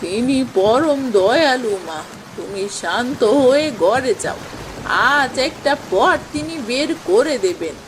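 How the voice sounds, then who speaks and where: A woman voices an elderly, poor village aunt in a cartoon tale. Her fairly high voice is motherly and careworn, given to lament, with clear rain noise around it. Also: animated, slow pace. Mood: comforting. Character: poor old village aunt, kindly and weary. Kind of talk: Bengali moral-story cartoon voice acting.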